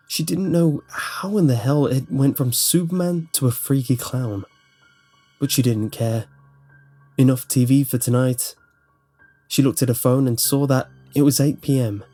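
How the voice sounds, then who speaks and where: A man's voice: medium pitch, a little slow, pauses often, warm tone. A man reading a scripted horror story as its narrator. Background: faint music.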